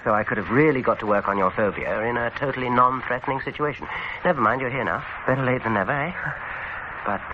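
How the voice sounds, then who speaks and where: An actor playing an unsettling dentist in a suspense radio drama, with an English accent and an unhurried, falsely soothing manner. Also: male voice, medium pitch, highs cut off, natural voice. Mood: quietly probing. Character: unsettling, probing male dentist with smooth menace. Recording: noisy, band-limited old radio recording.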